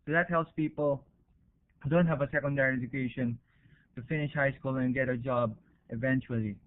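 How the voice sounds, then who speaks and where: A man's voice: medium pitch, fairly even intonation, fairly quick. Filipino-accented man, thin phone-like audio. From Philippines. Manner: hesitant, explanatory, checks in with "right?" and "okay?". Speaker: university researcher presenting. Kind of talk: informal academic presentation.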